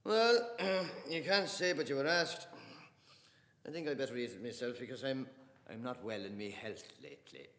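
A man's voice: mid-range voice, moderate pace, pitched above the performer's own voice, close to an everyday voice. A man playing a blustering mocking Irish father. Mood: jovial. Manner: loud, sneering, jovial bluster. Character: boisterous mocking middle-aged Irish father. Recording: echoey room with long reverb.

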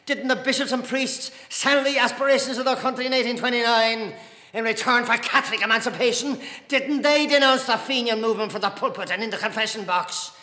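A man's voice: medium pitch, steady tone, slow pace, few pauses, sharp tone. A man voicing a fiery, bitter Irish nationalist in a storybook reading, declaiming accusations against the clergy with defiance. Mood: accusing, indignant. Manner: declaiming, accusatory rhetorical questions. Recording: echoey hall reverb.

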